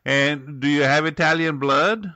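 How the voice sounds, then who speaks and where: Bright, forceful male interview host. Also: a little high, a little slow, dry recording. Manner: upbeat, asks questions with hesitations. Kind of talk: hosting an interview.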